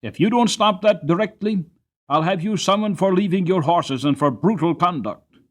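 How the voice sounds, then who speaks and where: A man voicing a kindly older gentleman in a storybook, courteous but firm and decided in his speech. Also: high voice, moderate pace, pitched above the performer's own voice. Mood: stern.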